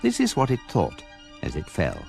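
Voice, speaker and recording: An actor playing a wry, unflappable guidebook narrator, measured and deadpan, in a radio comedy. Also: male voice, mid-range voice, vocal fry. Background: music.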